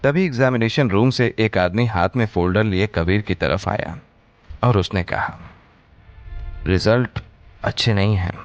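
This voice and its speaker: Deep-voiced man narrating a drama over music. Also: moderate pace, highs cut off. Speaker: audio story narrator. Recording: music behind the voice. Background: background music.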